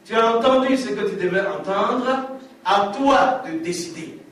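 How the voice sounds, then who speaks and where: A high-pitched man preaches his testimony in an emphatic way and hammers home lines by repeating them. He is caught from a distance in a very echoey hall, with a faint room hum behind him. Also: a little slow, a bit bright. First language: African language. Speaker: testimony speaker.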